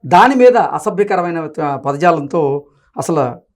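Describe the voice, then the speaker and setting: A woman's voice: low-pitched, expressive, slow pace. A woman hosting a news discussion and commenting on reports. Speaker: news talk show host.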